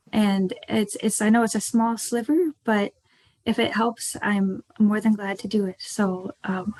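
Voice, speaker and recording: A woman's voice: medium pitch, warm tone, no echo, poor audio. An American woman giving an informal, conversational webinar talk as a startup co-founder over a video call. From rural Ohio, United States. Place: indoors, online video call.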